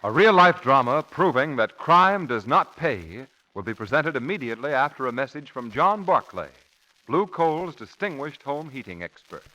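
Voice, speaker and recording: A man's voice: mid-range voice, very animated, normal speed, pushed and bright, slight background noise, poor audio. A man announcing from a script in an emphatic, dramatic 1940s radio style. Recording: old 1940s radio broadcast recording.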